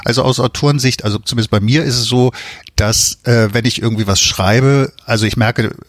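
A man's voice: mid-range voice, fairly even intonation, fairly quick, no echo, clean studio sound. A man who works as an author, talking conversationally and explaining as an interview guest, often adding tag questions.

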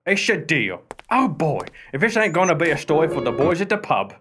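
An actor playing an irreverent, wisecracking working-class porter in a radio play, with a chatty, teasing manner. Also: male voice, fairly high pitch, big pitch swings, brisk. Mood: amused, chatty.

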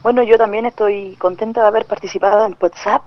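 A woman, chatting in an animated way as a guest on a casual podcast. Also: mid-range voice, quick pace, lo-fi sound. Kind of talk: casual group podcast chat.

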